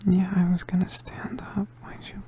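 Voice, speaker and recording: A woman playing a gentle, protective young male witch who is hurt and vulnerable, speaking in halting, breathy, whispered ASMR roleplay. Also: lowish voice, little pitch movement, close to an everyday voice. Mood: stubborn, amused. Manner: halting, stammering, hesitant. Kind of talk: ASMR roleplay, soft intimate acting.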